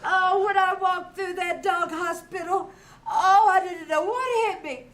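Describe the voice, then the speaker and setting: A woman's voice: very high voice, a little slow, fairly bright tone. A woman acting a dramatic, miserable wife in a live radio comedy, moaning and sneezing with allergies. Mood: miserable, wailing. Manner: wailing, moaning, exaggerated. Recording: noisy live theater stage.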